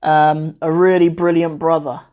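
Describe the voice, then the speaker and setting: Young man, twenties, laid-back teacher on a livestream, rambling and soft-trailing, speaking into a phone mic. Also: a little high, little pitch movement, unhurried. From Cornwall, England. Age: 20s. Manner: chatty and meandering, often trailing off softly. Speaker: Arabic teacher hosting a live Q&A. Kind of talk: casual livestream chat.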